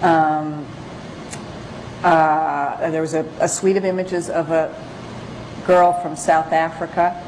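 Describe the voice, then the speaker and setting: A woman photographer giving an informal lecture about her work, speaking haltingly with frequent pauses. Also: low voice, little pitch movement, darkish tone, a little hiss or hum, low-quality recording. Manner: halting, hesitant, pausing often. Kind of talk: informal slide lecture with read-out excerpts.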